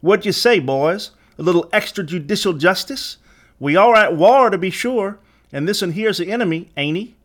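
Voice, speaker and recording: A man's voice: high voice, expressive, normal speed, fairly bright tone, voice pushed up. A man voicing a young, swaggering Confederate gang leader in a storybook, cruel and mocking, with a twangy American Southern accent. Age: young adult.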